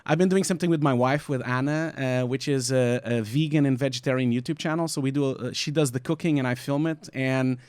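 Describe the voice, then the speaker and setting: A man's voice: medium pitch, fairly even intonation, brisk, few pauses, darkish tone, clean tone, no room sound. A man in his forties with a Portuguese accent, chatting as a podcast co-host in an informal, rambling, joking way. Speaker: podcast co-host, visual effects supervisor. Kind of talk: casual podcast chat.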